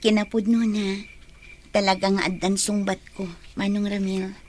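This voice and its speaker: A woman with an Ilocano accent playing a composed young village woman being courted in a radio drama, measured and coy. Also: mid-range voice, little pitch movement, darkish tone, slight background noise, close to an everyday voice. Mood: coy, sincere.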